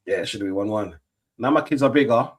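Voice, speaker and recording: A man's voice: lowish voice, fast talker. A middle-aged man, chatting casually on a football watch-along stream, rambling with lots of fillers and restarts. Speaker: stream host.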